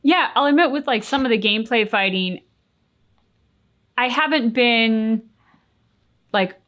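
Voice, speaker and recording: A woman's voice: fairly high pitch, a little slow, a bit bright. A woman co-hosting a reaction channel, talking casually and reflectively in an earnest, articulate, emphatic way.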